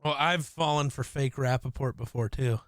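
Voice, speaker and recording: Guy cohosting a podcast, mid pitch, super dry close mic, excitable and swears a lot. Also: normal speed, no room sound. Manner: excitable fan, swears casually. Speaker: podcast co-host. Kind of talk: casual chat.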